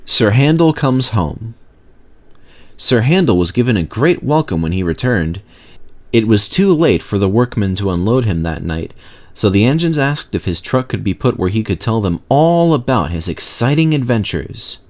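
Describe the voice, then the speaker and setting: A man reading a children's story aloud in an animated, expressive narrating style. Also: on the low side, normal speed. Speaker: storybook reader.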